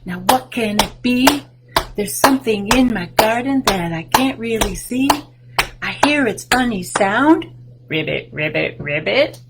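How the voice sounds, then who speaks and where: Slow, sing-song older woman reading to kids. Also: medium pitch, very noisy. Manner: warm, sing-song and slow, with big excited rises for kids. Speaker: children's librarian. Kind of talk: storytime reading and show-and-tell for children. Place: home room with bookshelves, indoors. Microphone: webcam video call.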